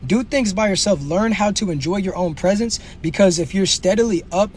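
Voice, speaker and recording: A brash young man rants to his live chat in slangy, animated bursts, his voice sitting high for a man. His phone mic also catches a faint hum of traffic around him. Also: a little fast. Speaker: livestream host. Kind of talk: comedic livestream rant.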